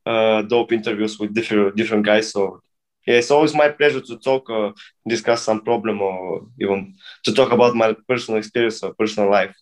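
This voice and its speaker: Man in his thirties, halting English. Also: fairly low pitch, fairly even intonation, rough recording. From Bulgaria. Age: 30s. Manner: halting non-native English with many restarts. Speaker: guest breakdancer. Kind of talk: casual interview.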